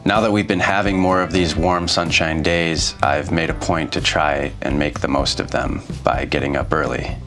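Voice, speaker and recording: A man in his thirties narrates in a low, even voice. His delivery is slow and reflective, like a calm scripted voice-over. Soft music plays quietly underneath. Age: 30s. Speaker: vlogger. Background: faint music.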